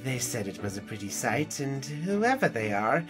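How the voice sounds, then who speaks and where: Woman as boyish male monkey artist, laid-back, low for a woman, animated intonation, casual, audio drama. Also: moderate pace, music in the background. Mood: casual, upbeat.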